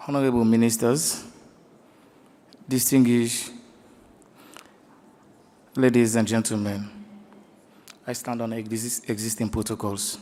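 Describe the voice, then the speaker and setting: A man gives a formal, deliberate ceremonial speech as a government minister. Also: lowish voice, a little mellow. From Sierra Leone. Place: large indoor conference hall. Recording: echoey hall, long reverb from PA.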